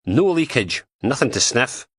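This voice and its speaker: A man's voice: mid-range voice, sing-song, measured pace, fairly bright tone, husky, no room sound, studio quality, pitched above the performer's own voice, exaggerated voice. A man voicing a blunt, foul-mouthed female police dog handler who talks crudely and scornfully. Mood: blunt, scoffing.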